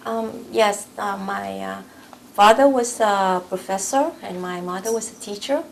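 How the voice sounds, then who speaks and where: A woman in her forties with a Vietnamese accent shares personal memories as an interviewee. Vietnamese is her first language. Her voice has a fair amount of fry, and there is faint noise in the recording. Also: medium pitch, a little slow. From South Vietnam. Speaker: oral history interviewee.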